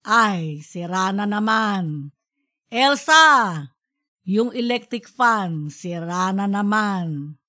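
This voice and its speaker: A woman's voice: mid-range voice, expressive, extremely slow, pauses often, pushed and bright, heavily acted. A woman voicing an easygoing, teasing father in a colloquial audiobook story. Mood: weary, exasperated. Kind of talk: audiobook character dialogue.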